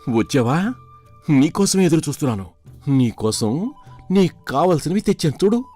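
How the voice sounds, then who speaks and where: A man's voice: fairly high pitch, sing-song, moderate pace, darkish tone, soft background music. A man playing a curious, kind-hearted household servant in a children's moral story, earnest and inquisitive. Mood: kindly, eager.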